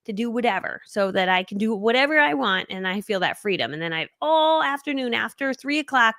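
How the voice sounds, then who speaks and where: A woman's voice: on the high side, expressive, a little fast, fairly bright tone, dry recording, clean studio sound. A woman guest in a casual interview, chatting in a bubbly way with frequent laughs and fillers. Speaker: guest speaker.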